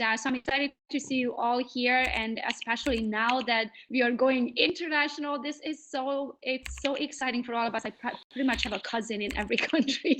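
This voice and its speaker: Chatty Hungarian-accented woman, rather high. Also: sharp tone, a little hiss or hum, low-quality recording. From Transylvania, Romania. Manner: candid, chatty, laughs often. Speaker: real estate agent, panel speaker. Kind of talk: conference panel talk.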